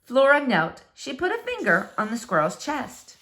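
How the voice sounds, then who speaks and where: A woman narrating a children's storybook aloud with expressive, dramatic, deliberate phrasing. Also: high voice. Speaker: storybook reader.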